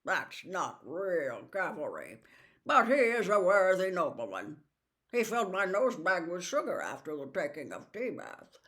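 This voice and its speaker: A woman's voice: fairly low pitch, very animated, measured pace, a bit bright. A woman voicing a proud, condescending male talking warhorse in a storybook, with a pompous, lofty manner. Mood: lofty, boastful.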